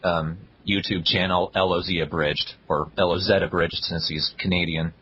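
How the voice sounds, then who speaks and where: A man's voice: low-pitched, level tone, normal speed, sharp tone, husky, highs cut off. A young man co-hosting a casual podcast, reviewing web videos in a blunt, dry way. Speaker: podcast co-host.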